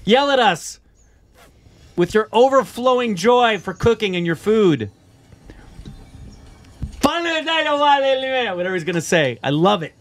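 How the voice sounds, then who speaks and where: Animated American man, playfully joking. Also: high-pitched, takes it slowly, pauses often. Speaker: reaction show co-host. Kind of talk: casual chat reacting to a video. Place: small studio, indoors. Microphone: close studio mic on boom arm.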